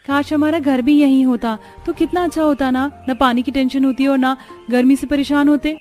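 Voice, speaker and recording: A woman playing a young village daughter-in-law in a cartoon story, expressive and chatty. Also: sits high, moderate pace, soft background music, natural voice. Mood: earnest, animated. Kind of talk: Hindi cartoon story dialogue.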